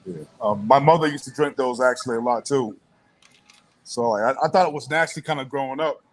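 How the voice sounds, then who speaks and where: A man in his thirties from Boston, a podcast guest with a relaxed, laid-back way of talking who laughs easily. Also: mid-range voice, brisk. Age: 30s. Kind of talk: casual chat.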